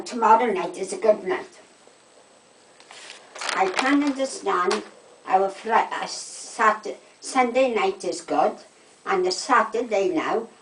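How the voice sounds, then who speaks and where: Older woman, South Wales Valleys accent, casual animated storytelling, roomy echo, away from the mic. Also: medium pitch, a little hiss or hum. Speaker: storytelling interviewee. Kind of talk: casual chat, personal anecdotes. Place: indoors at a house. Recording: roomy echo, distant microphone.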